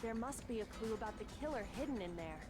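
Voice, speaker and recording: A woman's voice: a little high, lively intonation, fast, natural voice. A woman voicing a composed, analytical teenage girl sleuth in a fan dub of a visual novel game. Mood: composed, serious. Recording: music under the voice.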